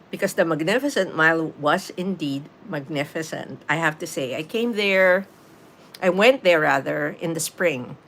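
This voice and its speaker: Gravelly Filipino woman in her fifties. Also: low voice, a bit bright. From Philippines. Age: 50s. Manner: chatty, laughs easily, answers the chat. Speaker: YouTube live streamer. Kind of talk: casual live stream chat with viewers.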